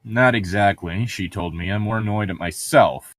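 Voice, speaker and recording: A man's voice: fairly low pitch, normal speed, a bit bright. A man reading a novel aloud and mocking it with crude, sarcastic, irreverent commentary. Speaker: co-host of a comedic read-along.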